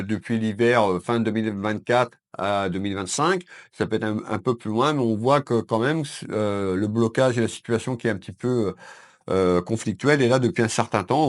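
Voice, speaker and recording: French psychic man with a cold. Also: lowish voice. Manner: calm, addresses viewers as "mes belles âmes", with frequent fillers. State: has a head cold. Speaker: psychic card reader. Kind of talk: weekend tarot reading to camera. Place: his consulting office with bay windows.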